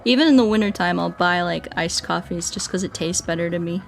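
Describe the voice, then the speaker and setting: A woman doing a casual gaming livestream, rambling playfully and thinking out loud as she chats with viewers. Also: fairly low pitch, brisk, gravelly, soft background music. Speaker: streamer playing Minecraft and chatting with viewers.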